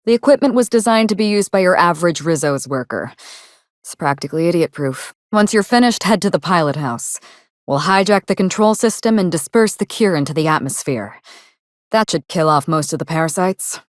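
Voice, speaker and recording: A woman playing a proud, wry, grieving actress turned quietly ruthless avenger in a video game, speaking in a dry, measured, wistful way with formal phrasing. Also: on the low side, animated, no echo, lightly acted. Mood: dry, confident. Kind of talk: video game dialogue acting.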